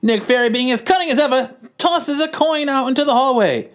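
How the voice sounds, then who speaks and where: Excitable old-timey male narrator played by a man, raised pitch, emphatic dramatic comic-book delivery. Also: a little fast. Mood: dramatic, excited. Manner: theatrical, emphatic.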